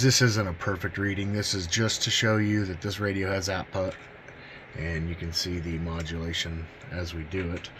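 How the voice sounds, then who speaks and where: A man giving a casual hands-on demonstration as a hobbyist host, talking in a folksy, informal, enthusiastic way. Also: lowish voice. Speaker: host of a CB radio demo channel. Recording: clear background noise.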